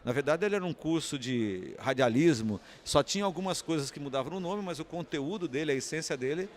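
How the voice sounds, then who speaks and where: A man's voice: fairly high pitch, animated, fairly quick, a little hiss or hum. A man with a Brazilian Portuguese accent giving an unscripted interview answer, explaining and often posing rhetorical questions. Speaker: interviewee, communications academic.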